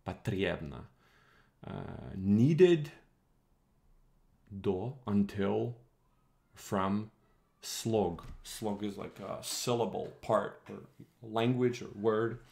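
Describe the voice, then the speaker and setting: A man's voice: on the low side, drawn-out words, vocal fry, long pauses, a little mellow. A man whose first language is Croatian, casually reading and translating an old text on a live stream, thinking aloud with many fillers.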